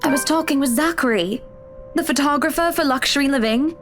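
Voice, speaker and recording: Female actor as fed-up wife, visual novel drama: high voice, pointed, indignant, music behind. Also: a little fast, lightly acted. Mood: defensive. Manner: scolding, pointed. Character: exasperated, indignant wife standing up to her husband. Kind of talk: visual novel game voice acting.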